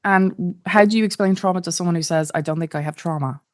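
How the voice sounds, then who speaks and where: A woman hosting an interview podcast, speaking in measured, emphatic phrases. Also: on the low side. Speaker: podcast host. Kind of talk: scripted introduction and interview.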